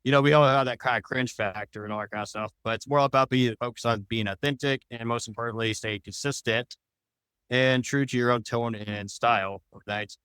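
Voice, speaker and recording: A man's voice: medium pitch, fairly even intonation, fairly quick, fairly bright tone, smooth, no room sound, low-quality recording. A man answering interview questions as a podcast guest, talking in loose, rambling explanations. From United States.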